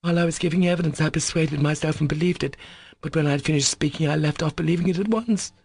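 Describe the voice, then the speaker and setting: A man voicing a proud, guilt-torn young woman in an audiobook, speaking in a raised, halting, fervent voice. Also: on the high side, creaky, darkish tone, husky, no echo, voice pushed up. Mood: anguished. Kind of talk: audiobook character voice.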